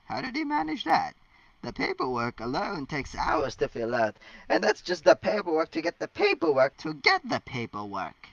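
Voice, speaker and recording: A man's voice: high-pitched, wildly swooping pitch, normal speed, creaky, a bit bright, no echo, pitched above the performer's own voice, exaggerated voice. A man reading a storybook's elderly headmaster, polite, mild and slightly befuddled. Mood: bemused. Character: elderly male school headmaster, genial, slightly bemused. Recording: distant mic, dull lo-fi sound.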